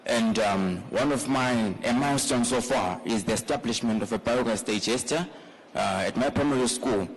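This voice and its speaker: A man's voice: mid-range voice, normal speed, slightly rough, rough recording. A man with a Zimbabwean accent introducing himself carefully on a panel, with "um" and "so" fillers. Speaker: youth climate ambassador.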